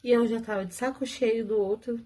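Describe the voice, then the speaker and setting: Woman, warm mellow tone, chatty storytelling, laughs a lot, talks to viewers. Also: fairly high pitch, a little fast. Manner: chatty, animated, laughs often, addresses viewers. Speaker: vlogger telling her love story.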